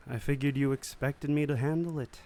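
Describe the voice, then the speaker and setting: A man's voice: medium pitch, steady tone, a little fast, mellow, no echo, close to an everyday voice. A man playing an evasive underworld business manager in a dramatic script read, defensive and placating. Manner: pleading, defensive. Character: evasive, placating male spice-den manager in trouble. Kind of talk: fan script table read, dramatic acting.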